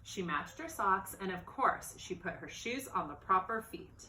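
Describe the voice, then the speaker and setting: A woman reading a children's storybook aloud in an expressive, animated narrating style. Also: medium pitch, unhurried, a little hiss or hum. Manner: expressive, acts out dialogue and exclamations. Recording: roomy, echoey sound.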